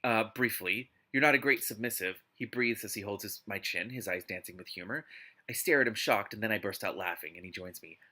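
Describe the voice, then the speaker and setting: A man hosting a live read-aloud of a book, mixing narration with casual, exasperated and expressive commentary. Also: on the low side, a little fast. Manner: exasperated, expressive asides while reading.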